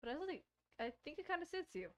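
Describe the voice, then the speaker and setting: A woman's voice: high-pitched, big pitch swings, fast, voice pushed up. A woman reading the lines of an easygoing, reassuring young male protagonist in a visual novel.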